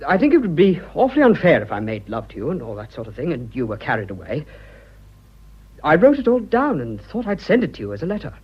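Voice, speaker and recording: Young Englishman, lovestruck, awkward, highish husky voice, swooping intonation, clipped period radio drama, muffled recording. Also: huge pitch swings, full character voice. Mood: shy, earnest. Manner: halting, self-conscious, blurting, clipped old-fashioned speech. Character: earnest, awkward young businessman hopelessly in love.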